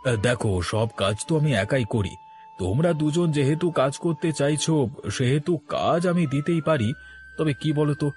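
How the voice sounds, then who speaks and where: A man's voice: on the high side, darkish tone, natural voice. A man voicing a kind, honest shopkeeper and father in a moral-story cartoon, speaking in a welcoming, earnest way.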